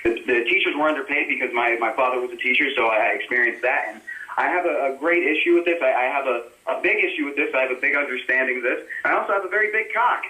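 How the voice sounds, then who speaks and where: Fast-talking man on echoey phone. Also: medium pitch, bright, forceful tone. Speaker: caller to a phone-in show. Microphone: telephone.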